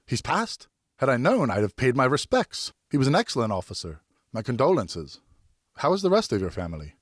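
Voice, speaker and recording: Man acting a courteous, smitten French gentleman, raised pitch. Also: sing-song, brisk, dry recording, studio quality. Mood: surprised, sympathetic. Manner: formal, earnest, slightly flustered. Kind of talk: audiobook character dialogue.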